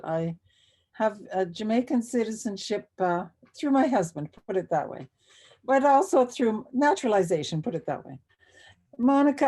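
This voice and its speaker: Mellow-voiced woman chatting on a call. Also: medium pitch. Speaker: participant. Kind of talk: casual chat on a video call. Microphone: video call.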